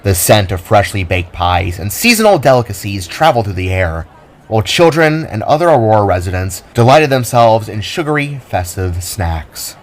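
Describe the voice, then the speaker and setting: Male narrator, low voice, animated intonation, descriptive storytelling. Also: moderate pace. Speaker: narrator and writer.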